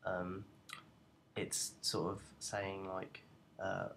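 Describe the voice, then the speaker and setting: Low, creaky young man explaining haltingly. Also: completely flat delivery, a bit bright, slight background noise. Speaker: student tutorial presenter. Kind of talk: informal software tutorial narration.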